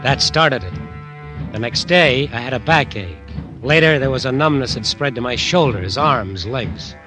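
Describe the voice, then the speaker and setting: An American man acting a haunted bandleader in a vintage radio thriller, narrating his slow decline in a halting, weary, dramatic way. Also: medium pitch, animated, natural voice. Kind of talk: 1940s-50s radio drama acting, first-person narration. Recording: vintage radio recording, music behind.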